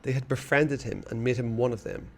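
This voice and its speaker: A man's voice: mid-range voice, fairly quick. Man reading a story aloud expressively. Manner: expressive, hushed on dark passages. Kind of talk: reading a children's novel aloud.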